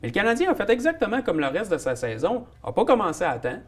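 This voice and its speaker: A man with a Québécois accent argues hockey in a loose, opinionated way. His voice sits a little high and jumps around a lot as he talks. He is blunt and quick to joke. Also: huge pitch swings, breathy edge. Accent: Québécois French. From Quebec. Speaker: sports talk co-host. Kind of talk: casual hockey chat.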